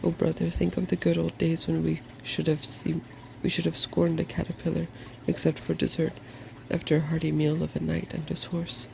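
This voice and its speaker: A man reading as a creaky, timid, hungry hippogriff. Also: fairly high pitch, completely flat delivery, normal speed, few pauses, a little mellow, a little hiss or hum, rough recording. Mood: wistful. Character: hungry, timid, gloomy hippogriff, nostalgic for feasts. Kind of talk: soft-spoken storybook reading.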